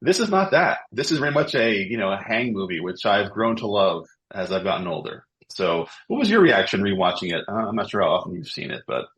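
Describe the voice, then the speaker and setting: A man's voice: on the low side, big pitch swings, brisk, few pauses, fairly bright tone. A man in his fifties, a podcast guest talking about films with energy and enthusiasm, often repeating words as he goes. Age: 50s. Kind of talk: casual film podcast chat.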